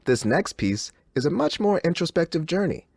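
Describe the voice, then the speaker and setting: A man's voice: on the high side, sing-song, normal speed, creaky, darkish tone, no echo, clean studio sound, exaggerated voice. An actor playing a theatrical, uneasily cheerful male radio DJ in a scripted game broadcast. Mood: smooth, introspective. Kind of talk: scripted radio host patter.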